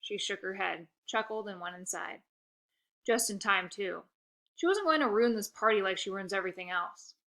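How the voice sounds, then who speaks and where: A woman reading a children's book aloud in an expressive narrating style. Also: medium pitch, normal speed. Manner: expressive, occasional stumbles over words. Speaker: storybook reader.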